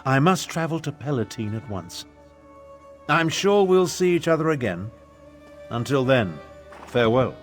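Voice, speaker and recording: A man's voice: mid-range voice, very animated, a little slow, pauses often, faint music behind. A man playing a haughty, curmudgeonly older battle mage in a fantasy game, with dry and pompous delivery. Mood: brisk, grave. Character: crotchety, haughty aging human battle mage. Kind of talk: video game character dialogue.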